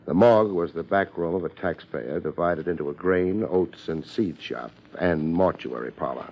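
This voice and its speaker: An actor playing a laid-back, wisecracking New private detective in a 1950s radio drama, speaking in wry, clipped slang. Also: male voice, low voice, normal speed, vocal fry, dull audio, slight background noise. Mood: wry, narrating. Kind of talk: 1950s radio detective drama acting, first-person narration.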